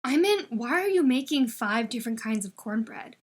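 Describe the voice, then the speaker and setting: A woman voicing an awkward, unsure young male student in a story, with a hesitant, halting, casual delivery. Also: a little high, expressive, slightly rough. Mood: mildly puzzled.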